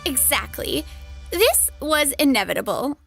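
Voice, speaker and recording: The sly woman muttering smug asides in a cartoon office drama , as an actress plays her, high and lilting, music playing behind. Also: very animated, measured pace, creaky, exaggerated voice. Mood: smug, relieved. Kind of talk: scripted manga dub acting.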